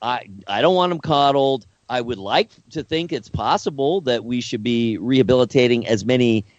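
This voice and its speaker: A man's voice: medium pitch, no echo. Man cohosting a podcast, stops and repeats himself a lot, earnest, muffled dry sound. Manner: halting, repeats words, earnest. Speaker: podcast co-host. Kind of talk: casual discussion.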